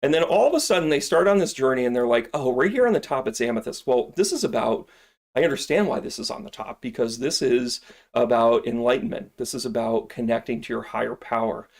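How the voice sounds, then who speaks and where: A man's voice: mid-range voice, animated, a little fast. A middle-aged man hosting a live stream from his shop, showing off products in a casual, joking, rambling way. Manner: joking, rambling, salesy asides.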